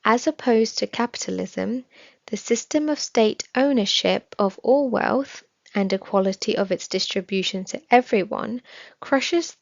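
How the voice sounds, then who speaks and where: A woman reading a scripted religious study text aloud in a measured, formal manner. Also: medium pitch, gravelly, no echo. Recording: slightly dull, dry recording.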